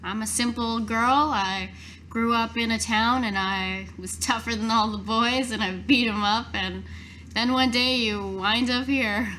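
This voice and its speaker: Bright, high-voiced woman role-playing. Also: fairly even intonation, unhurried, slight background noise, poor audio. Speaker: tabletop game player. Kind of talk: casual in-character improvised role-play.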